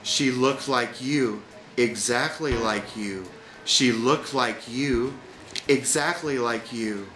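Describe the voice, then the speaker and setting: Man playing blunt, mischievous little twins, unhurried. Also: mid-range voice, sharp tone, echoey room, soft background music, pitched below the performer's own voice, low-quality recording. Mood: taunting, chanting. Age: child. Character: strange, mischievous lying young twin children.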